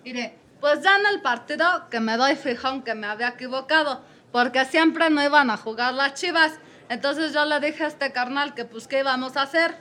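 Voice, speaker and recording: A woman's voice: high voice, normal speed, pushed and bright. A woman with a Mexico City accent playing a brash woman who talks in street slang. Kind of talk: comedic radio play acting.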